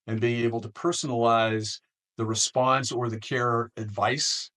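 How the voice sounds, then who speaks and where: An American man hosting an interview in a conversational, careful way, with deliberate and somewhat halting phrasing. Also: medium pitch, steady tone, fairly bright tone. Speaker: interview host.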